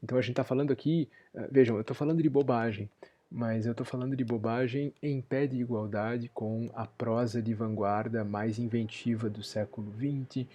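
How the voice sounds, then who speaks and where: Mellow, husky male lecturer. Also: medium pitch, fairly even intonation, moderate pace, lo-fi sound. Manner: thoughtful, rephrasing as he goes. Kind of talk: university lecture.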